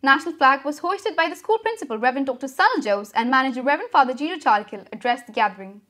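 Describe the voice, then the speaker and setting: A young woman reading a school news bulletin as the anchor, with a brisk, even headline delivery. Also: high-pitched. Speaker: student news reader.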